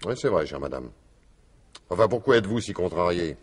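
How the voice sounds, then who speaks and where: An actor playing a wily, courteous and evasive police commissioner in a French radio mystery in 1950s style. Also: male voice, low voice, lively intonation, a little fast, husky. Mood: evasive, soothing. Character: wily, placating male police commissioner, coolly scheming. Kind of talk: French radio drama acting in 1950s manner.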